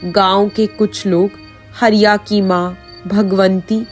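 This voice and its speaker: A woman narrating an animated folk story in a scripted storytelling style. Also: mid-range voice, takes it slowly, soft background music.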